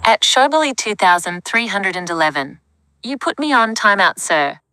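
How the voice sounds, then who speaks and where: A synthetic woman's voice reads chat messages aloud, flat and even. The tone is bright and crisp, with a clean, dry sound. It sounds computer-generated. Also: mid-range voice, moderate pace, no echo, poor audio. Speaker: text-to-speech chat reader.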